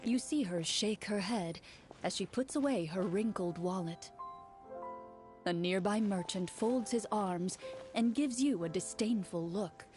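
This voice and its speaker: A woman's voice: medium pitch, unhurried, a little mellow, soft background music, natural voice. A woman voicing a young game character who narrates a role-play scene and plays a troubled wife, hesitant and flustered. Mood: calm narration.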